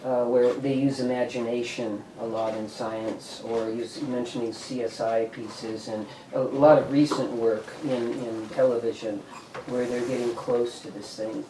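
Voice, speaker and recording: A man pitching ideas informally to a group, rambling and tentative as he goes. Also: level tone, a little slow. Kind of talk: informal brainstorming talk. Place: indoor meeting room. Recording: roomy, distant mic, faint noise.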